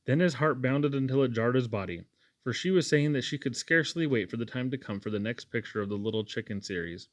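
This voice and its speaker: A man's voice: mid-range voice, expressive, quick pace, dry recording. A man reading a storybook aloud as scripted audiobook narration. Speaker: audiobook reader.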